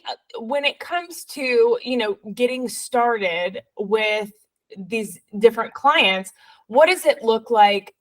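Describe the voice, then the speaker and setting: A woman's voice: on the high side, poor audio. A woman with an English accent hosting a podcast, upbeat and chatty as she introduces and questions her guest. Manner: upbeat, chatty, with many fillers and restarts. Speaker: podcast host. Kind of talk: podcast interview.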